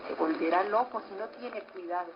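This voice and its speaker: A woman's voice: medium pitch, brisk, lightly acted. An actress playing a worried woman in a vintage radio drama. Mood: anxious, exasperated. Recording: dull, noisy vintage radio recording.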